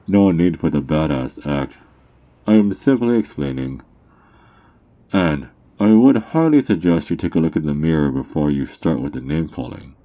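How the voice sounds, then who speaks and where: A man playing a sly, mocking underground drug dealer in an ASMR roleplay, teasing and smug, with theatrical drawn-out words. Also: rumbling low, expressive, mellow, full character voice. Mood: smug, defensive. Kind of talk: ASMR character roleplay monologue.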